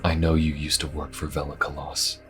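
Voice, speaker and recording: A man playing a calm, shrewd adventurer and secret agent in a fantasy audio roleplay, speaking in a measured, hesitant, conspiratorial way. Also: rumbling low, faint music behind. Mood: earnest, conspiratorial. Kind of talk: fantasy audio roleplay, intimate conversational acting.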